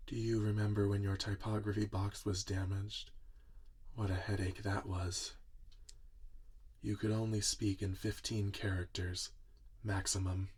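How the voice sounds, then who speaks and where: Guy doing a tired gentle inventor dad for asmr roleplay, low husky voice, talks real soft and tender. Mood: wry, musing. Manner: slow, tender, musing, talking to a robot listener.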